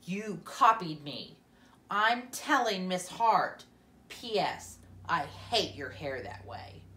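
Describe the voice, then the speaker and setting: A woman's voice: on the low side, animated, slow, pushed and bright, reverberant, exaggerated voice. A woman voicing a confident, proud young schoolgirl in a children's storybook. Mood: cross.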